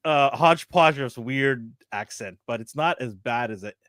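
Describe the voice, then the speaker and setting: A man from Canada co-hosting a casual chat, animated, laughing often and tripping over his words. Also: medium pitch, normal speed, dry recording, studio quality. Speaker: co-host.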